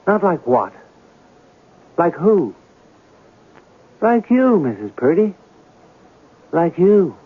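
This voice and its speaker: An actor playing a probing, eloquent newspaper reporter with theatrical, deliberate phrasing in an old-time radio drama. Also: male voice, high-pitched, big pitch swings, a little hiss or hum, full character voice. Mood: accusing, intense. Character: probing, eloquent newspaper reporter in turn-of-century New York. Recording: narrowband old radio broadcast, music behind.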